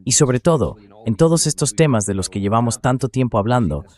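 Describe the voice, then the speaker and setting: A man's voice: mid-range voice, expressive, brisk, a little mellow. A man's synthetic AI voice reading a translated political commentary as a voice-over.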